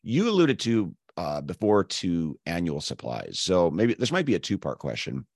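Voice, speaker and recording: A man hosting an interview podcast whose voice is hoarse and scratchy from losing it. He talks earnestly and builds long questions in several parts. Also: low voice, huge pitch swings, moderate pace, a little mellow, dry recording, studio quality. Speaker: podcast host.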